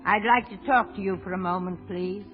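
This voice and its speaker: An older actress playing an anxious, devoted mother, speaking with halting, earnest emotion in old radio drama style. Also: medium pitch, natural voice. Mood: earnest, emotional. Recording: muffled old radio broadcast with music.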